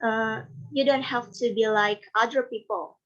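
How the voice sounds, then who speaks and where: A woman giving a practice speech to her class, hesitant and pausing often with "uh". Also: on the high side, fairly even intonation, a bit bright. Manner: hesitant, many pauses with uh. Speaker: student speaker. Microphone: video call. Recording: dull lo-fi online call audio.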